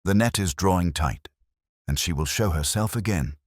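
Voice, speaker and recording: A man voicing a keen, calm Victorian gentleman detective in a storybook, speaking formally with quiet authority. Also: sits low, normal speed, dry recording, studio quality. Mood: commanding.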